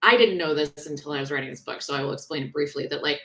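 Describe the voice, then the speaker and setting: A woman author talking in a conversational interview, explaining things in an animated, informal way. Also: sits low, quick pace. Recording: roomy, echoing sound.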